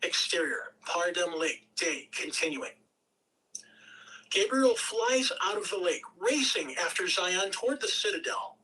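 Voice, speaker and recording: A woman's voice: fairly low pitch, lively intonation, measured pace, sharp tone, recorded from a distance, poor audio. A woman reading stage directions as scripted narration at a table read.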